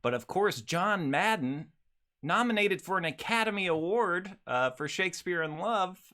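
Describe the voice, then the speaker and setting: A man's voice: high voice, lively intonation, moderate pace, sharp tone. A man hosting a casual podcast, talking in wry, rambling asides.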